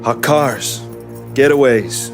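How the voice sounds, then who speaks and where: An American actor playing a chummy, eager hustling mechanic for the mob in a cinematic crime game. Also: male voice, medium pitch, takes it slowly, loud music behind the voice, lightly acted. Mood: breezy, sly. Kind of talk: naturalistic cinematic game acting.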